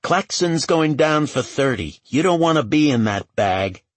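Man voicing a brash, foul-mouthed streetwise woman, swooping pitch. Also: lively intonation, measured pace, no room sound. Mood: menacing, crude. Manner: slangy, profane, taunting.

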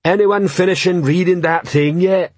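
A man voicing a huge, slow-witted, blunt and dutiful troll police sergeant in an audiobook, with laboured, ungrammatical speech. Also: sits high, dry recording, pitched above the performer's own voice. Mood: blunt, insistent. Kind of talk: audiobook character voice.

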